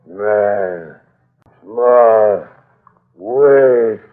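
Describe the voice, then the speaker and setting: An actor playing a brooding, primitive captive gorilla in a radio horror play, speaking guttural, halting words full of hatred. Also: male voice, fairly low pitch. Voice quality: guttural, gravelly. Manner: slow, halting single words. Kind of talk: old-time radio horror acting. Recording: muffled old radio recording.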